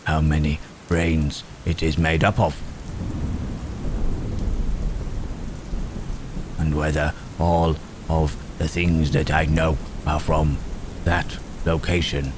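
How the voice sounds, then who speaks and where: Very deep, halting, gentle monster acted by a man. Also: level tone. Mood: pensive. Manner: halting, thoughtful, old-fashioned formal phrasing with murmured tags. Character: gentle, melancholy male Frankenstein's monster, protective and hesitant. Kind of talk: ASMR roleplay acting. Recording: rain and thunderstorm noise throughout. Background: rain and thunder.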